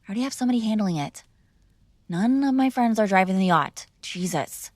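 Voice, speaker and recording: A woman's voice: medium pitch, animated, brisk, darkish tone, no room sound, studio quality, close to an everyday voice. A woman playing a mob boss's spoiled, bratty young adult daughter in an intimate roleplay, speaking casually with sarcastic, exasperated argument. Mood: defensive, bratty. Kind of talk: intimate ASMR roleplay acting, one-sided conversation.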